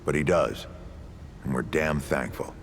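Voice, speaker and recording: An actor playing an old, folksy, world-weary leader and storyteller in a video game, with a gravelly voice and unhurried delivery. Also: male voice, very deep voice, noisy background. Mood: reflective. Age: older. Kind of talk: video game character dialogue.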